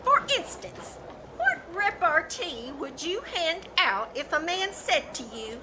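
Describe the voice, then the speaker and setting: A squeaky-high, cutesy teenage girl plays the glamour expert in a live 1940s-style radio comedy. Her emphatic lines swoop up and down. The theater recording is clearly noisy. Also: big pitch swings, takes it slowly, heavily acted. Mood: coy, instructive.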